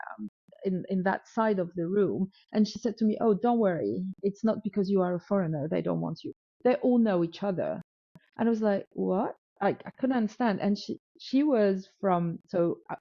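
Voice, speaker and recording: A woman's voice: mid-range voice, no echo. A woman with a French accent, a guest telling a story in a casual interview, with frequent restarts and repeated words as she acts out quoted dialogue. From North Africa. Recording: dull lo-fi sound, dry room.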